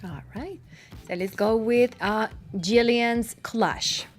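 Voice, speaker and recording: A woman's voice: fairly high pitch, takes it slowly, crowd noise. A woman hosting a live student program in a conversational interview style.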